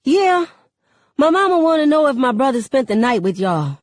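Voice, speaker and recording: A bold, chatty teenage girl, put on by a woman narrator from an audiobook novel. She talks in lively slang like a born gossip, with her pitch lifted high. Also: moderate pace, dry recording, clean studio sound. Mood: breezy. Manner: slangy, animated storytelling.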